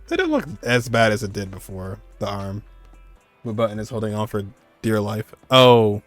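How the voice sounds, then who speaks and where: Gaming commentator, male, lowish voice, casual and reactive, thinking aloud over faint music. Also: big pitch swings, moderate pace, dry recording. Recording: faint game music behind. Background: game music.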